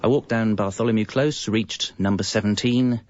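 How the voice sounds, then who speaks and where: An actor as a low-voiced, wry British husband narrator. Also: male voice, normal speed, slight background noise, lightly acted. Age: 30s. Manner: deadpan, conversational. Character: wry, evasive young husband and father confessing his double life. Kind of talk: scripted comic narration. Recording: dull, lo-fi radio audio. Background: music.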